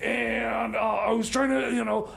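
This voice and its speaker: A man's voice: little pitch movement, unhurried, a bit bright, exaggerated voice. A man playing a flustered, eccentric small-town tinkerer in a comedy radio skit, stammering and rambling as he repeats himself. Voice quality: high-pitched, creaky. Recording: faint background noise.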